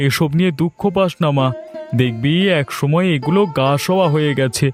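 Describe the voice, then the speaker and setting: A rather high male cartoon voice from an actor playing a humble middle-aged father. He is gentle, trusting and patient, soothing his child in folk-tale dialogue over faint music. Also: normal speed, close to an everyday voice.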